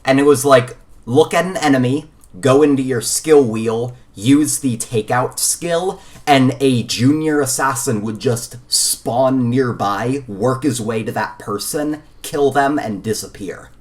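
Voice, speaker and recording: A man with a mid-pitched voice talks as a podcast co-host in a loose, casual gaming chat. He rambles through his opinions and swears offhandedly as he goes. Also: unhurried, few pauses.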